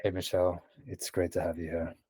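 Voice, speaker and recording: A man hosting a casual interview, relaxed and a bit hesitant, with frequent "um" and "uh". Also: sits low, brisk, music in the background. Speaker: podcast host.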